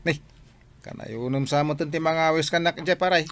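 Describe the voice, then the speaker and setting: A man's voice: fairly high pitch, steady tone, moderate pace, a bit bright, clear, resonant tone. A man acting a teasing, chummy drinking buddy in an Ilocano-accented radio comedy drama.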